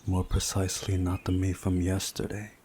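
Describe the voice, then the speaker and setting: Deep, dark-voiced man narrating. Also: completely flat delivery, moderate pace, slight background noise. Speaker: visual novel playthrough host. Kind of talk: scripted narration of a visual novel with commentary.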